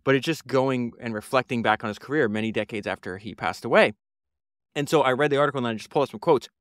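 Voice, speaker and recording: A man's voice: medium pitch, fast talker, no echo, clean studio sound. A man, hosting a solo podcast and talking through a book in an earnest, rambling way with many fillers and restarts. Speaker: podcast host.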